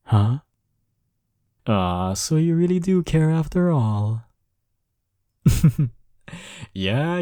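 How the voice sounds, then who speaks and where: Deep-voiced, cocky flirtatious college rival acted by a man. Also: no room sound, studio quality. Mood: smug, flirtatious. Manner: smug, flirtatious, drawling teasing with soft chuckles. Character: cocky, teasing male college rival smitten with listener. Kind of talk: intimate romantic audio roleplay.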